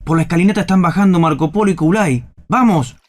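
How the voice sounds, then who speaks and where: An actor playing an awed guide surveying an emperor's lands, in a declamatory literary radio drama style. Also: male voice, high voice, fast, close to an everyday voice.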